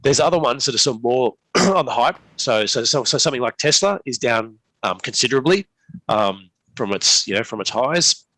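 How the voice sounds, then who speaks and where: A man speaking as an investment expert in an unscripted interview, halting and often restarting his phrases. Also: medium pitch, lively intonation, sharp tone, dry recording, rough recording. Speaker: investment manager, interview guest. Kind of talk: unscripted market commentary in a live Q&A.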